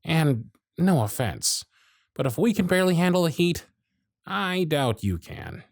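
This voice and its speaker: A man voicing a jaded, century-old male workshop supervisor who is gruff, weary, sardonic and blunt, in an ASMR roleplay with close miking. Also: fairly high pitch, sing-song, unhurried, no room sound, exaggerated voice. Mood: bitter, exasperated. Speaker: voice actor in audio roleplay.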